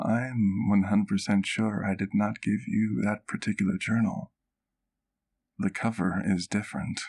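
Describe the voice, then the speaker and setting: A man playing a gentle, protective vampire doctor in an ASMR roleplay, speaking in a soft, measured, careful way. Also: deep voice, creaky, heavily acted. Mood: puzzled.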